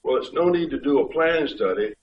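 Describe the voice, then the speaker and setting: A man with a head cold, a state highway official explaining things step by step to a public board meeting. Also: animated, fairly quick, a little hiss or hum. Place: community board meeting room. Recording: dull, echoey room pickup from far off.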